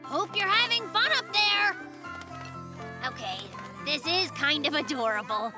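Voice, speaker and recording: A woman voicing a cocky, excitable young boy polar bear in a children's cartoon, boastful and easily exasperated, with lots of exclamations. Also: high-pitched, unhurried. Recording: music bed behind the voice.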